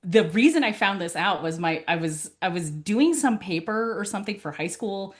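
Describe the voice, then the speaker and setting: A woman's voice: mid-range voice, expressive, normal speed. A young woman from California, first language Tagalog, telling family stories in a casual interview and laughing often. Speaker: podcast guest, college advisor.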